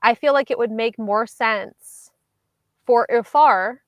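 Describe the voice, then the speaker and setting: Young woman, book club co-host, highish voice, dry close sound, measured and skeptical. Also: dry recording, dull audio. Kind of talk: casual book discussion.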